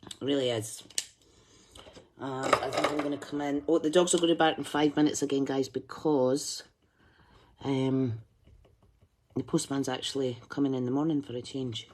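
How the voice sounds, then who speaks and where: A woman's voice: very deep voice, steady tone, normal speed, long pauses, darkish tone, slight background noise. A woman hosting a craft tutorial, chatting and thinking aloud as she colours, humming to herself while she concentrates. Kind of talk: live colouring demonstration.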